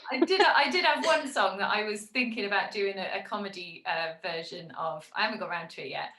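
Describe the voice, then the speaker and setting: A woman's voice: mid-range voice, a little fast, bright, forceful tone, echoey room. A woman from Gloucester with a light Gloucestershire accent, hosting a chatty podcast and laughing often. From Gloucester, England. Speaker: podcast host, singer. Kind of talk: casual interview chat.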